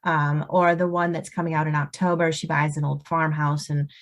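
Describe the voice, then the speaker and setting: A woman with a slightly low-pitched voice talks as an interview guest. She explains in a conversational, storytelling way, walking through examples casually. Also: level tone, normal speed. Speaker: guest novelist.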